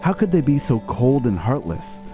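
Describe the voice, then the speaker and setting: A man playing an idealistic starship captain and scientist, narrating a formal, measured captain's log in a game video. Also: mid-range voice, big pitch swings, mellow, faint music behind, close to an everyday voice. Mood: uneasy, earnest.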